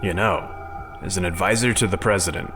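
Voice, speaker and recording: A male actor plays a rational, challenging advisor in a science fiction audio drama. His voice is deep and his delivery pointed and probing, with faint music underneath. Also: a little fast, close to an everyday voice. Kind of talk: sci-fi audio drama acting.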